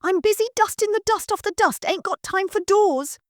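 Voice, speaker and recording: A woman's voice: very high-pitched, normal speed, no echo, studio quality, voice pushed up. A woman voicing a cheeky, insolent young maid who talks back with a lazy drawl.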